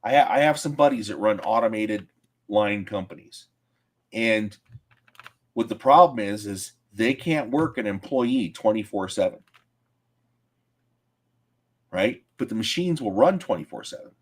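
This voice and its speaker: Male co-host, low voice, plain-spoken and argumentative. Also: expressive, moderate pace. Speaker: podcast co-host. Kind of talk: casual chat.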